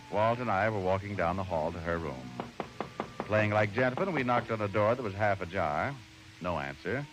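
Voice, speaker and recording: A man's voice: fairly low pitch, low-quality recording. An actor playing a wry, flippant male private detective, speaking and narrating in an old radio drama. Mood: wry narration.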